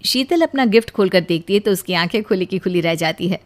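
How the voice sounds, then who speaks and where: A woman reads out a scripted family tale as narrator of an animated video. Her voice sits at a middle pitch for a woman. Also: fairly quick.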